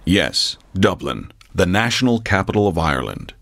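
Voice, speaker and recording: A man playing a solemn, grandiose documentary narrator, delivering scripted mock-documentary narration in a pompous, deadpan way. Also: low voice, normal speed, creaky, exaggerated voice.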